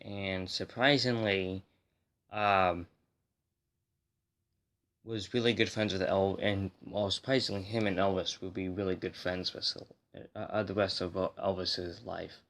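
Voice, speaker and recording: Male video host, lower register, slightly hoarse from a cold, informal and rambling explainer delivery. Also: fairly even intonation, a little slow, fairly bright tone. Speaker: host of a music history video series. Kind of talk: casual explainer talk to viewers.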